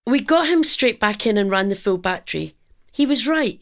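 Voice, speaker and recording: An actress playing an earnest research scientist in a radio drama, speaking in a measured, clinical manner. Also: female voice, fairly high pitch, expressive. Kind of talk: audio drama acting.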